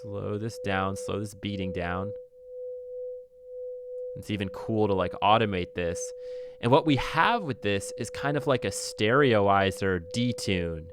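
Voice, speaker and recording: A man narrating a casual music software tutorial as an instructor, explaining things conversationally and thinking aloud as he goes. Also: fairly low pitch, unhurried, heavy background noise.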